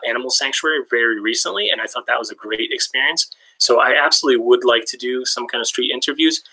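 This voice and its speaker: Bright-voiced man in rambling livestream chat. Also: mid-range voice, poor audio. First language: English and Hungarian. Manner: rambling, many fillers and restarts. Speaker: livestream host answering chat questions. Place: lives in Hungary.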